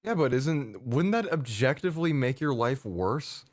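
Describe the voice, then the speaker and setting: A man's voice: medium pitch, big pitch swings, measured pace, no echo, studio quality. A man co-hosting a stream, firing off incredulous questions and swearing often. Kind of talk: casual livestream chat.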